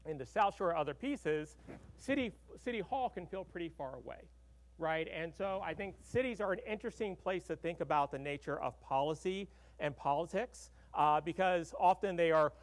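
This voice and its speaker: Keynote speaker: middle-aged man, slightly high voice, loose and chatty, podium mic in a big hall. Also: animated, moderate pace. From Arkansas. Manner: conversational, many fillers and "right?" tags. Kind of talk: conference keynote lecture. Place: large conference hall with audience.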